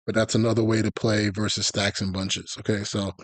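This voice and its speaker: A man giving a live, conversational tutorial as a gaming coach, explaining tactics and often checking in with a quick "okay?" or "right?". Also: fairly low pitch, flat delivery, no echo. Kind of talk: live explanatory commentary on football video game tactics.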